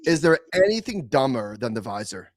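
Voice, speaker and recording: Lively male guest, dry close sound. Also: medium pitch, moderate pace, no echo. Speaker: guest on a market show. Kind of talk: casual chat.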